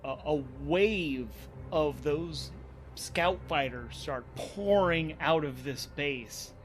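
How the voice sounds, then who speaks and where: A man with a somewhat high-pitched voice narrates a solo tabletop game as its host. His delivery is casual and improvised, thinking aloud and doubling back on words as he works things out. There is faint noise in the background of the recording. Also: takes it slowly.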